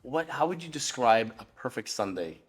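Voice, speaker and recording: A woman's voice: very deep voice, animated, normal speed, husky, faint music behind. A woman hosting a company channel interview, chatty and eager, with lots of affirming remarks. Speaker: host and interviewer.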